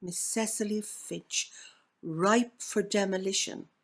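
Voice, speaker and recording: An Irish woman reading a poem as an actor would, with measured, deliberate and theatrical phrasing. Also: mid-range voice, steady tone. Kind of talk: dramatic poetry reading.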